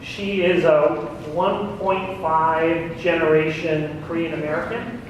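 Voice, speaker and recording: A man's voice: on the high side, takes it slowly. A man introducing a guest speaker at a seminar, speaking hesitantly and partly reading from notes. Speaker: event host introducing a speaker. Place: large room at a community seminar. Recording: very echoey room, distant mic, faint noise.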